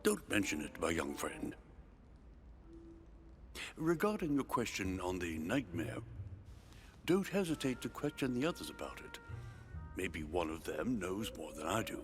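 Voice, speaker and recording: A man's voice: low voice, huge pitch swings, unhurried, long pauses, soft background music, heavily acted. A man playing a kindly, courteous older statesman in a video game, speaking in a formal, genial manner. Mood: genial, helpful. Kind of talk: dramatic game dialogue.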